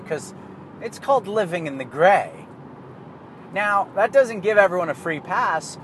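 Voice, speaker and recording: Canadian guy, somewhat high voice, loose profane monologue, car and street noise audible behind. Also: fairly bright tone. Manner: rambling, profane, laughs at himself. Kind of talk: casual monologue.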